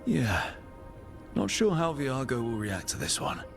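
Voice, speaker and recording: Male game hero: mid-pitched, understated, supportive leader, faint music underneath. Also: big pitch swings, measured pace, gravelly. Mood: businesslike. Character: supportive, level-headed male adventuring leader. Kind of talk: video game dialogue acting.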